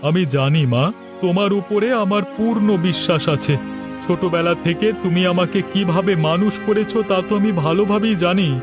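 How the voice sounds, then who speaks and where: A man's voice: high voice, fairly quick, over music, natural voice. A man playing a dutiful, conciliatory adult son in a cartoon, speaking in an earnest, placating way. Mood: earnest, tender. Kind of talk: Bengali cartoon voice acting.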